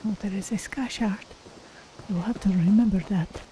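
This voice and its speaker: A woman voicing a curious, cautious female cat-folk adventurer in a game, musing aloud in measured, formal phrasing. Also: mid-range voice, noisy background, natural voice. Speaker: streamer voicing a game character. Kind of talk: in-character game playthrough narration.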